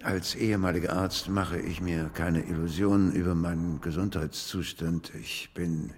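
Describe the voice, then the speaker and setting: Deep, grave older gentleman. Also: steady tone, moderate pace, husky, in a large hall. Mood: earnest, grave. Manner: grave, formal, hesitant. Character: ailing, earnest older gentleman, spiritualist writer and ex-doctor. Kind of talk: German radio drama acting.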